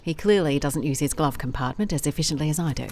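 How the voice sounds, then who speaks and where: A woman's voice: deep voice, expressive, fast talker, darkish tone, a little hiss or hum. A woman playing a wry, observant private investigator in an audio drama, with a dry, conversational manner. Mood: dry, musing. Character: wry, observant female private investigator narrating her case. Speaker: lead actor in an audio drama. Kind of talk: audio drama case notes and dialogue.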